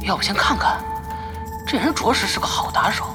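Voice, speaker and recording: A man playing a pragmatic, smooth-talking, scheming gamer in a radio drama, ingratiating and calculating. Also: high voice, animated, a little fast, music in the background.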